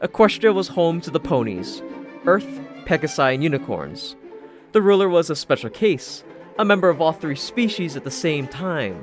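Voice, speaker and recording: Animated, sardonic male story narrator over music. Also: on the high side, normal speed. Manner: dry, sardonic. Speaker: audiobook narrator. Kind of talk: first-person scripted story narration.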